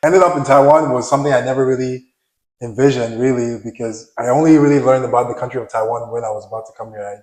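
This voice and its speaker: A young man from Nigeria, a professional basketball player, talking casually as an interview guest, relaxed and soft-spoken, often restarting and trailing off. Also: mid-range voice, fast, echoey room, low-quality recording.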